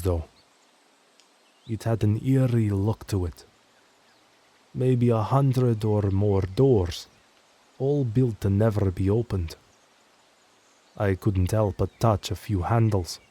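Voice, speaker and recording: A man's voice: on the low side, dark tone. A man reading a scripted first-person horror story as narrator.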